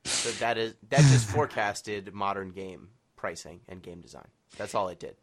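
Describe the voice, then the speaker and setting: A man hosts a casual interview podcast in a fairly low voice. He moves between questions for his guests and informal announcements for listeners. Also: moderate pace.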